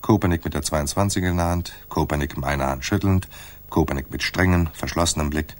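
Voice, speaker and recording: A man's voice: extremely low pitch, fairly quick. An actor playing a wry, hard-boiled private detective in a German radio play, dry and laconic in his delivery. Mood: dry, narrating. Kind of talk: German radio play acting, noir narration. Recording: noisy 1980s radio play recording.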